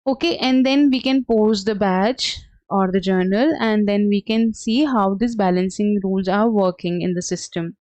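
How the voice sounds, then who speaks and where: A woman trainer talks through a software tutorial on a dull, lo-fi recording with very little room sound. Her pitch is average for a woman, and her step-by-step explanation is unscripted and somewhat halting. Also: no echo. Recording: dull, lo-fi, dry close sound.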